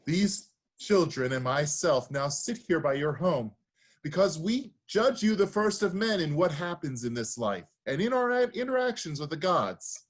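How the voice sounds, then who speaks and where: A man's voice: fairly high pitch, lively intonation, a little slow. A man acting a reverent priest pleading with his king, in an earnest, oratorical reading of Greek tragedy. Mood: grave, pleading. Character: reverent, pleading priest of Zeus, grave suppliant.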